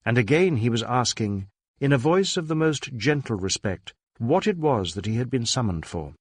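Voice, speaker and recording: Man, audiobook narrator, scripted literary storytelling, medium pitch, dry studio-like sound. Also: animated, moderate pace, slightly rough, dry recording.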